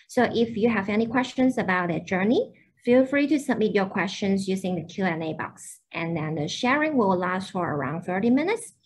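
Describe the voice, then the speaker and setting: A woman's voice: mid-range voice, lively intonation, fairly quick, a little hiss or hum. A young woman hosting a university admissions webinar over a video call, explaining programmes with frequent fillers.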